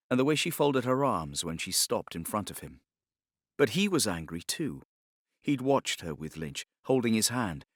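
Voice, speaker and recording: A man's voice: on the low side, a bit bright, dry recording, studio quality. A man narrating a novel as an audiobook, reading scripted prose in a dramatic storytelling style. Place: studio, close and dry.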